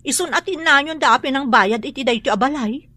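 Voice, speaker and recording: An actress with an Ilocano accent playing a sharp-tongued, nosy woman boarder in a radio drama, speaking emphatically. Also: high-pitched, a little fast, no echo. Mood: subdued, worried.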